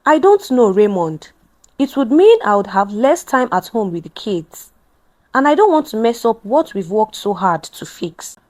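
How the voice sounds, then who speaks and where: Soft-hearted young wife for an audio story, acted by a female narrator, higher register, expressive. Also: normal speed. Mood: uncertain. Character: gentle, conscientious wife and young mother.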